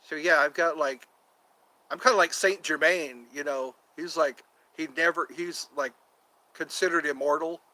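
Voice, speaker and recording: A man in his fifties chats loosely with his livestream audience, wandering from one topic to the next. His voice sits a little high and has a bright, forceful edge. He often breaks into quick put-on voices between his asides. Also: low-quality recording. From United States. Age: 50s. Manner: chatty and meandering, often breaks into quoted voices. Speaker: livestream host. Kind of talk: rambling casual livestream chat with viewers.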